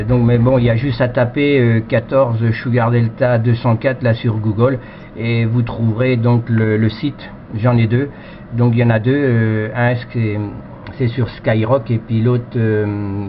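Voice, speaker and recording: A man from France, an amateur radio operator, chatting casually over the radio in a rambling way full of verbal tags, on a headset microphone. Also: mid-range voice. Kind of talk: casual radio chat, unscripted. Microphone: cheap headset microphone. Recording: dull, noisy radio transmission.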